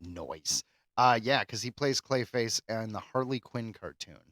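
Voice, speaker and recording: A man's voice: mid-range voice, expressive, a little slow, a bit bright, dry recording, studio quality. A man co-hosting a podcast in a casual, chatty style. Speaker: podcast co-host. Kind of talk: casual pop culture chat.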